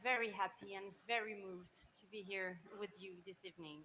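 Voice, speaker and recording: A woman who grew up in Morocco, speaking Arabic and French as first languages, gives a measured, sincere ceremony speech in English with a French accent. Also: mid-range voice, fairly even intonation, sharp tone. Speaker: judging panel chair.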